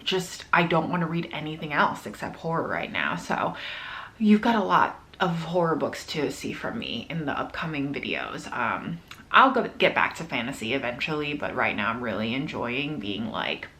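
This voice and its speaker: Female book vlogger, low register. Casual, slangy, effusive delivery straight to her viewers. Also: sing-song, normal speed, bright, forceful tone. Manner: informal, effusive, with slangy swearing.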